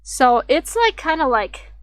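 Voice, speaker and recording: A woman streamer with a slightly raspy voice, rambling and thinking aloud as she draws on a casual livestream. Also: high voice, very animated, measured pace, no echo, clean studio sound. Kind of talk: casual drawing livestream chat.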